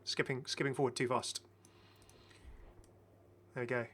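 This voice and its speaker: A man's voice: mid-range voice, little pitch movement, a little slow, fairly bright tone, slightly rough. A man giving casual, enthusiastic game commentary as a chatty, rambling video host.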